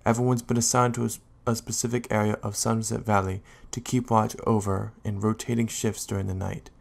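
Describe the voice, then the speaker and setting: A man playing a calm, responsible Raichu guardian in an audio drama, speaking in a measured, explanatory way. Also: on the low side, vocal fry. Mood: earnest, explaining. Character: calm, helpful adult male Raichu guardian, steady and responsible.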